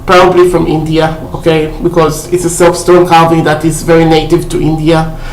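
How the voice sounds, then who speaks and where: A woman's voice: low-pitched, flat delivery, moderate pace, slight background noise. A woman casually showing off a shopping haul on her vlog, chatty and full of fillers, often checking in with "okay?". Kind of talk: casual haul video chat. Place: indoor room at home. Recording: roomy echo.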